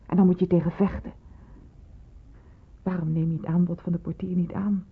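A muffled, phone-band radio play recording of a stern, caring senior nurse. Her voice is strongly husky and breathy, with an insistent, commanding way of talking. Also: fairly low pitch, flat delivery, normal speed. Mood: urgent, pleading. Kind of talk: radio drama acting.

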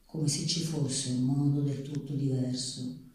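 A man's voice: medium pitch, flat delivery, unhurried, darkish tone. A man reading a literary story aloud on stage. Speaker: reader on stage. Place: live theatre stage. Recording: echoey hall, distant microphone.

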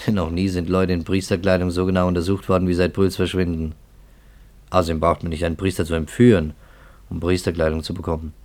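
Male actor as measured, probing investigator, deep voice, 1950s radio drama. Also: close to an everyday voice. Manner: calm, measured, quietly challenging.

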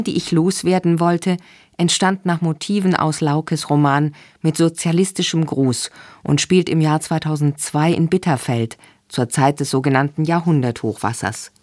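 Dry, close radio presenter, mid pitch. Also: darkish tone, dry recording. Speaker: radio presenter introducing a drama. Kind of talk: scripted narration.

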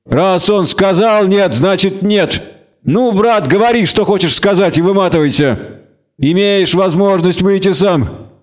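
A man's voice: high voice, measured pace, pitched above the performer's own voice. A man voicing a taunting, smug gang leader in an audiobook, commanding and mock-friendly. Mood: bossy, mocking. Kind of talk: audiobook character acting.

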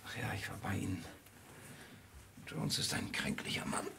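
An actor playing a brooding, detached gentleman in a radio drama, speaking reflectively and often hushed, with sudden urgency. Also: low-pitched, animated, normal speed, creaky, heavy background noise. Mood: concerned. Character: brooding, detached gentleman in love with an endangered woman.

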